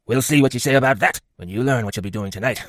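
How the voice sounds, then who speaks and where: A man's voice: mid-range voice, fast, a bit bright, dry recording, studio quality, natural voice. A man playing a cruel, leering school caretaker in an audiobook, nasty, gloating and menacing in manner. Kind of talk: audiobook character acting.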